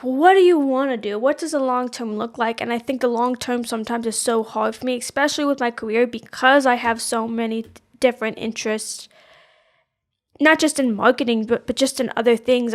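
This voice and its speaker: A woman in her twenties co-hosting a podcast, talking casually and rambling, searching for words with lots of fillers. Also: fairly high pitch. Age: 20s. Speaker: podcast co-host. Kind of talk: casual chat.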